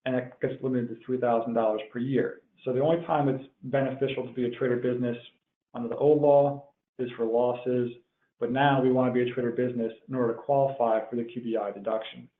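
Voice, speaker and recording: A man presenting a technical webinar in a measured, explanatory way, as if working through prepared points. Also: mid-range voice, steady tone. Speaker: webinar presenter, tax professional. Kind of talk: explanatory tax webinar lecture. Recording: tinny phone-like line, roomy echo.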